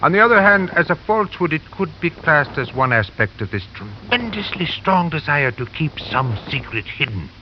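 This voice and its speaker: An actor playing a grave psychiatrist in 1950s radio drama, formal, considered and emphatic. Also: male voice, a little high, sing-song, moderate pace, hardly any pauses. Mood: grave, explanatory. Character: grave, measured police psychiatrist, adult man. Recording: muffled, noisy vintage radio recording.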